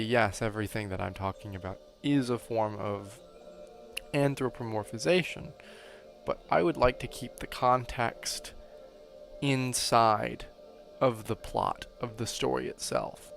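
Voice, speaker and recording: A young man with a low voice and heavy vocal fry talks through an analytical video essay. His wording is academic but loosened by casual asides and little check-ins with the listener, and music plays behind him. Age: young adult. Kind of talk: analytical video essay commentary, semi-conversational.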